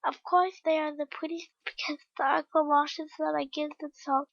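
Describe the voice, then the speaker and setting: Woman putting on a curt goatherd boy, higher voice than her narration, kinda muffled lo-fi. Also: level tone, normal speed, creaky, dry recording. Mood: blunt. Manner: blunt, curt, matter-of-fact. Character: gruff plain-spoken young goatherd boy.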